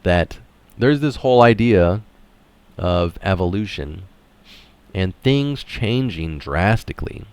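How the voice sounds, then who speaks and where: Low-voiced man reading an article aloud. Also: lively intonation, slow pace, long pauses, a little mellow, clean tone, no room sound. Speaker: reader of an article adding his own comments. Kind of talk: read-aloud article narration with casual asides.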